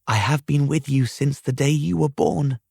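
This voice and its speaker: A man voicing a calm, guiding female spirit in a storybook reading, serene, formal and cryptic. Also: fairly high pitch, normal speed, creaky, breathy edge, no echo, clean studio sound, voice pushed up. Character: calm, guiding ghost of Scrooge's sister.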